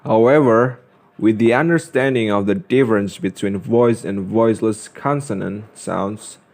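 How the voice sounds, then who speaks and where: Slow, halting young man reading a lesson. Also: fairly low pitch, a little mellow. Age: young adult. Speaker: student presenter. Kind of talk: scripted lesson narration.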